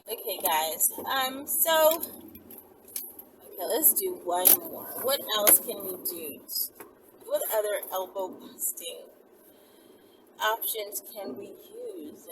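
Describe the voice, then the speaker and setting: A woman in her thirties giving casual, emphatic instructions to camera, often checking in with a quick "okay?" or "right?". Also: medium pitch, sing-song. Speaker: vlogger giving advice. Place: inside a moving car. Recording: loud car and road noise, distant mic.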